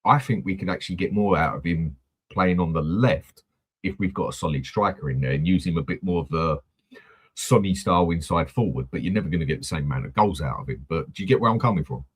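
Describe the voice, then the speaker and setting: A man's voice: sits low, fast talker, slightly rough. A man hosting a casual football fan chat, blunt and swearing freely. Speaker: host of a football fan channel.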